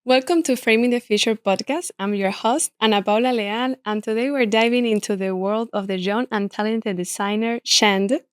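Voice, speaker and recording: A woman's voice: a little high, normal speed, hardly any pauses, clear, resonant tone, no room sound. A woman hosting an architecture podcast, introducing and encouraging her guest. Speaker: podcast host. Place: studio.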